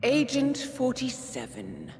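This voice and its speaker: An actress playing a cool, calculating handler of an assassin, measured and knowing, in a cinematic video game. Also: female voice, sits high, huge pitch swings, vocal fry, a little mellow, a little hiss or hum, heavily acted. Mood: taunting, accusing.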